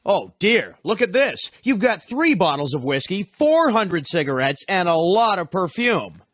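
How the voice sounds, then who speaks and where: A man with a husky voice playing airport parts in a scripted lesson dialogue. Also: high voice, very animated, a little slow, fairly bright tone, no echo, clean studio sound, heavily acted. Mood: businesslike. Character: brisk official or traveller at an airport.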